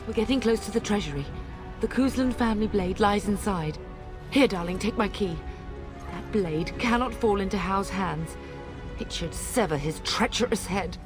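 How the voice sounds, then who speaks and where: A woman's voice: medium pitch, natural voice. A woman playing a middle-aged noblewoman mother in a fantasy video game, commanding, earnest and formal, fierce in her grief. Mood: vengeful. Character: fierce, grieving noblewoman mother of a besieged castle. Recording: dramatic music behind.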